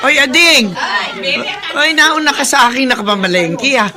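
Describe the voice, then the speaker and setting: A woman's voice: high-pitched, animated, normal speed, a crowd in the background. A woman acting as an older neighbor in a radio drama, gossipy and teasing.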